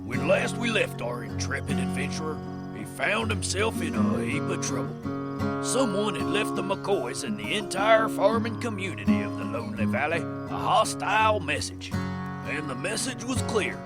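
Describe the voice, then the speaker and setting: A man's voice: high-pitched, sing-song, normal speed, loud music behind the voice. A man playing a folksy, tongue-in-cheek narrator of a comic western tale. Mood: wry, dramatic.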